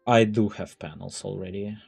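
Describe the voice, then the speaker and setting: A man chatting casually to viewers as a live streamer, halting and thinking aloud as he goes. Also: fairly low pitch, fairly even intonation, gravelly, darkish tone, husky, soft background music. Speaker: live streamer reading chat.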